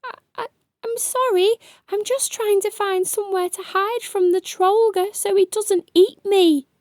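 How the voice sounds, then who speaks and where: A woman voicing a frightened, excitable young boy in a children's storybook, with an earnest manner. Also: very high voice, measured pace, dry recording, clean studio sound, voice pushed up. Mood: frightened, panicked. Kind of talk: children's storybook character reading.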